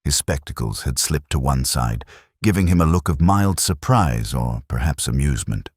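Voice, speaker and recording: A man narrating a scripted first-person detective story in a measured, literary, world-weary manner. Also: rumbling low, expressive, darkish tone, husky, no room sound, studio quality.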